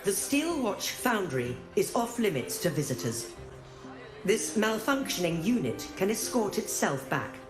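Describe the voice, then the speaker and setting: A woman's voice: mid-range voice, big pitch swings, measured pace. A woman voicing a cold, official robotic war machine in a video game, making formal authoritarian pronouncements. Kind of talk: scripted video game acting. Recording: echoing voice under background music.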